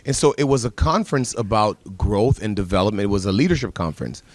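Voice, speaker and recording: A man's voice: on the low side, lively intonation, fast talker, no room sound. A man born in the Bahamas, speaking as a pastor and guest on a TV panel, telling stories earnestly with emphatic repetition. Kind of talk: TV panel discussion.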